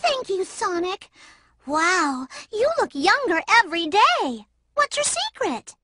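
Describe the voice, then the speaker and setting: A very high, gushing hedgehog girl. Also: sing-song, slow pace, heavily acted. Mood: adoring. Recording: loud music behind the voice.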